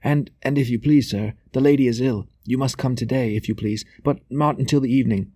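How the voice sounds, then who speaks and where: A man's voice: medium pitch, a little fast, mellow, breathy edge, no echo, studio quality, voice pushed up, natural voice. A man voicing a nervous, servile male messenger in a novel, hasty and stammering as he pleads. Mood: nervous, urgent.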